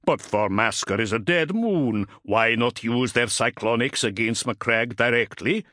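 A man voicing a gruff, sceptical, battle-hardened warrior in an audiobook, with a growling, blunt and forceful delivery. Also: medium pitch, a little slow, dry recording, clean studio sound, pitched above the performer's own voice. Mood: gruff, questioning.

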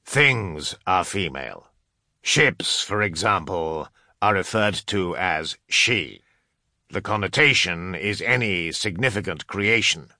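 Male reader as solemn male translator, sci-fi audiobook. Halting, precise, very slow, bright. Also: low voice, expressive, dry recording, studio quality, exaggerated voice. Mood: patient, explaining. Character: grave, careful male scholar translating cryptic chants.

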